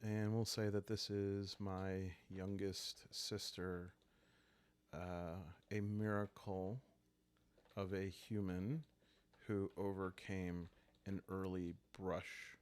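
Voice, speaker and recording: A man calmly improvising a solo roleplaying story for sleepy listeners, thinking aloud in short, halting phrases. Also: sits low, clear, resonant tone. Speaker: host and solo player of a journaling roleplaying game.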